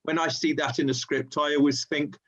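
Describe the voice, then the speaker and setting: A man's voice: on the high side, level tone, a little fast, fairly bright tone. A man hosting an informal webinar and relaying questions from the audience, heard over a dull, lo-fi online call.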